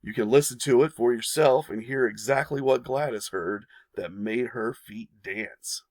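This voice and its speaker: A man with a gravelly, mid-pitched voice narrates a history lesson for his channel. He reads the story, then drops into casual asides that point viewers to more. The sound is dry and close, with no room around it. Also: measured pace, a little mellow, dry recording.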